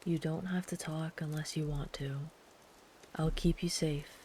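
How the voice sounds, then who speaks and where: A woman voicing a big, gentle, protective traveler camping in the woods, speaking softly and soothingly, sometimes in a whisper, as ASMR comfort roleplay. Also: low voice, measured pace, slight background noise. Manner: soft, soothing, calm, at times whispered.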